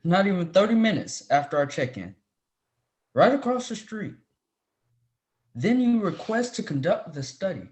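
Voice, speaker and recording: A man's voice: high voice, big pitch swings, moderate pace, pauses often, a little mellow. A man playing a stern, proud professor who speaks formally and reprovingly in a dramatic script reading. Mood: angry reproach. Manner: formal, reprimanding. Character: stern, proud male professor, demanding authority figure.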